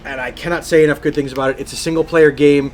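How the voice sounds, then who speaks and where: A man's voice: a little high, steady tone, fast. A man co-hosting a video game podcast in casual chat, speaking emphatically and effusively and repeating phrases for stress. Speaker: podcast co-host.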